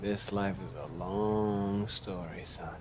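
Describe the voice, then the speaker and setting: A man's voice: on the low side, fairly even intonation, slow pace. An actor playing a reflective, solemn middle-aged father giving advice in a video game cutscene. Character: solemn, reflective father advising his son. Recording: clear outdoor noise behind dialogue.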